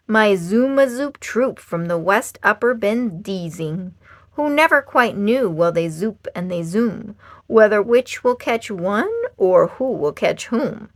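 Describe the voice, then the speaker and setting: A woman's voice: mid-range voice, no room sound. Lady doing a dramatic playful kids book read aloud, takes her time, really dry close sound. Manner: dramatic, expressive, playful emphasis. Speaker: storyteller reading a children's book aloud. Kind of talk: theatrical rhyming storybook read-aloud.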